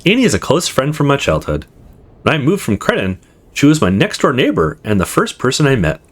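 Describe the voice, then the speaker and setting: A man with a slightly husky voice reading a visual novel story aloud in an expressive first-person narration. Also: mid-range voice, a little fast.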